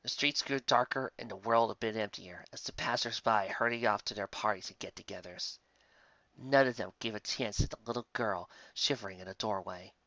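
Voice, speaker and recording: A man's voice: lowish voice, a little fast, sharp tone, no echo, muffled recording. A man narrating a story in a dramatic, scripted reading style. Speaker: fan fiction reader.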